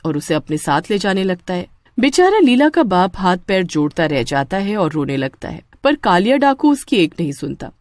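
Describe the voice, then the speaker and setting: Female storyteller: lively, swooping intonation, scripted narration, very dry close recording. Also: lowish voice, normal speed, few pauses, no room sound.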